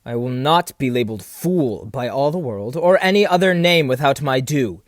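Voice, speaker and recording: A man's voice: medium pitch, lively intonation, moderate pace, dry recording, studio quality, close to an everyday voice. A man playing a proud, stubborn military captain and duelist, haughty and defiant in formal audio-drama lines. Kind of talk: stage-play audio drama acting.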